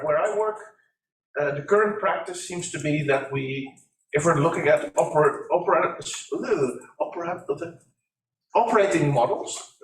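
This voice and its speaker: A man from industry speaking up from the audience in a conference discussion, forthright and practical, with a loud voice. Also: a little high. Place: conference meeting room. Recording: echoey room, distant ceiling mics.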